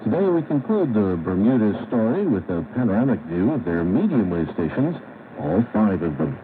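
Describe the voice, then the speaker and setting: Male radio show host, animated announcer read, heard through a tinny shortwave set. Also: fairly low pitch, normal speed, a little hiss or hum. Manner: announcer delivery. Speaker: program host. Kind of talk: scripted radio presentation.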